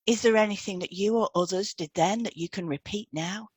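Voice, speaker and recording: A woman's voice: medium pitch, fairly quick, breathy edge, dry recording, clean studio sound. A woman co-hosting a podcast, reading scripted instructional narration. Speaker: podcast co-host, hypnotherapist.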